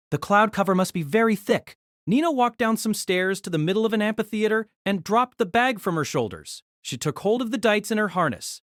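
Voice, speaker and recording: A man narrating a novel aloud in an expressive storytelling manner. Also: sits high, dry recording, clean studio sound.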